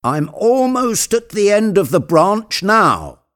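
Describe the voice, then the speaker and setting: A man's voice: sits high, very animated, slow, fairly bright tone, voice pushed up, full character voice. A man voicing a reluctant, wary male servant, hesitant and protesting, in a storybook tale. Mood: breathless surprise.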